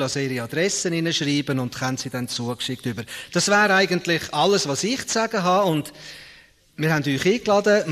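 A man's voice: on the high side, a bit bright. A man with a Swiss German accent giving an informal spoken welcome as host to an audience, introducing guest speakers. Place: large reverberant hall. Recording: echoey hall with long reverb.

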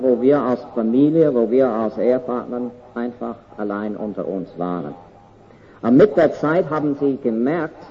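An older man from Canada with an English accent, his first language English, preaching a sermon in an earnest, slow and deliberate way with halting pauses. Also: medium pitch, muffled recording.

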